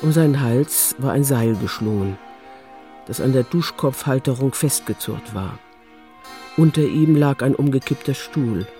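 A man's voice: mid-range voice, unhurried, dark tone, faint music behind. A man narrating a radio drama from a script as storyteller.

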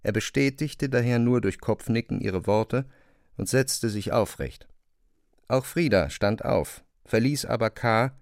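A man's voice: medium pitch, expressive, measured pace, no echo. A man narrating a literary novel as a scripted reading, close to a studio microphone. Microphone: close, dry studio microphone.

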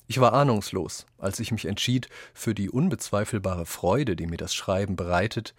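A man with a fairly low voice reads quoted passages for a radio essay. He is deliberate and articulate, keeping a measured delivery. The recording is dry and close. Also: dry recording. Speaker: reader of quotations in a radio essay. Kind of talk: scripted reading, radio essay.

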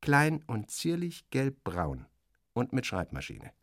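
A man's voice: mid-range voice, huge pitch swings, slow, fairly bright tone, no echo, clean studio sound. A man reading first-person literary narration in a dramatic, wry interior-monologue manner.

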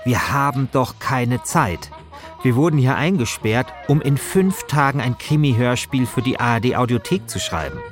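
Lively male podcast narrator. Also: medium pitch, moderate pace. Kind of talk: scripted narration. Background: faint music.

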